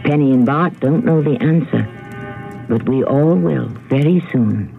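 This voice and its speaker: A man narrating a scripted 1970s radio mystery as host, measured, dramatic and suspenseful. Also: medium pitch, vocal fry, soft background music. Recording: tinny, narrow vintage radio broadcast.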